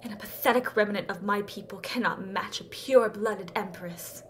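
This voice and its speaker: A woman's voice: medium pitch, normal speed, slight background noise, close to an everyday voice. A woman playing a haughty siren empress in a fantasy horror audio drama, speaking in an imperious, theatrical, formal way. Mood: scheming.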